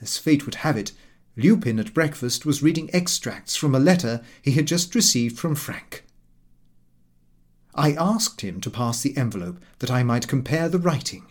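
A man's voice: mid-range voice, animated, moderate pace. A man narrating a comic first-person audiobook in a prim, precise, slightly aggrieved manner.

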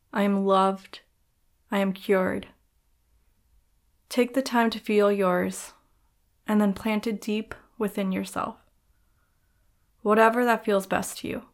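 Female yoga nidra guide, mid-pitched voice, soothing and measured, with gentle pauses. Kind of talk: guided meditation narration.

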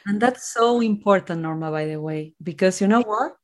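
A woman's voice: medium pitch, moderate pace. A middle-aged woman from Argentina, a native Spanish speaker with an Argentine accent, telling her own story conversationally as a podcast guest.

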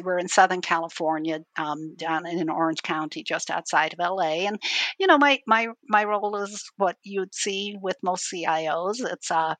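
A woman's voice: fairly low pitch, a bit bright, no room sound. Dry-voiced woman from Ireland, talking shop. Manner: conversational, explaining at length with frequent restarts. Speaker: university IT chief, interview guest. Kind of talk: casual interview conversation.

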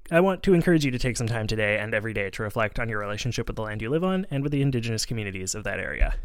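A man's voice: fairly low pitch, expressive, racing, smooth, no room sound. A man hosting a food podcast from a studio, reading an upbeat scripted intro and then interviewing a guest. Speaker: podcast host.

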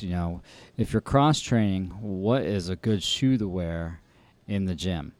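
A man's voice: sits low, mellow, no echo. A man co-hosting a podcast, talking casually and frankly about trail running shoes, laughing easily. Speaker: podcast co-host.

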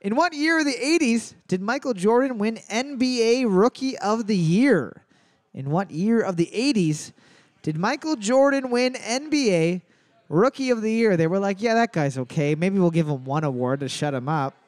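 A man with a high, very lively voice hosts a trivia night and jokes between questions. He reads the choices aloud and spells them out slowly. The sound is close and dry, with no room echo. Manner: animated, spells out answers slowly. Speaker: trivia host. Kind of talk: live bar trivia hosting with jokes.

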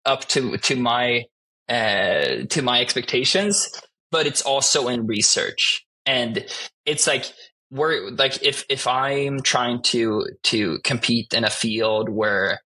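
A man's voice: mid-range voice, lively intonation, slow pace, sharp tone, husky, poor audio. A man in his twenties whose first language is Swedish, a guest in an interview, talking casually and often restarting as he searches for words. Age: 20s. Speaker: guest, art director.

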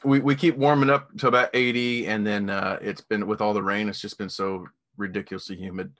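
A man hosts a casual podcast chat in a bright, forward voice. His delivery is easygoing and good-humored, with an unhurried, conversational rhythm. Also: fairly low pitch, fairly even intonation. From Missouri. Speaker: podcast host.

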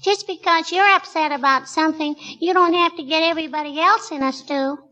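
A woman's voice: sits high, a little fast, pushed and bright, muffled recording. A woman playing a sassy, nosy little girl who pesters her neighbour, in 1940s radio comedy style. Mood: cheeky. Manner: childish, sassy, repeats catchphrases. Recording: vintage 1940s radio broadcast.

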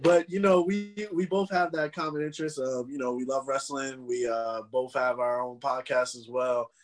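A man hosting a podcast, chatting casually with a guest in an energetic, slangy way and laughing a lot. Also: medium pitch, normal speed, faint music behind. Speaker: podcast host.

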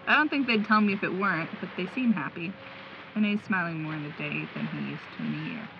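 A woman acting a steady, helpful young friend in an audio drama, with casual, natural delivery. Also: lowish voice, a little fast. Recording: dull lo-fi audio, faint vehicle noise.

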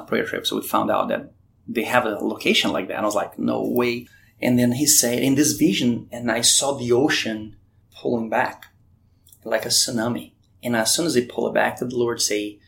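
Brazilian-accented male guest, earnest testimony, gravelly mid voice, faint hiss. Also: medium pitch. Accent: Brazilian Portuguese. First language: Portuguese. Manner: earnest, retells dialogue with frequent restarts. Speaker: missionary guest. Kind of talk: storytelling testimony.

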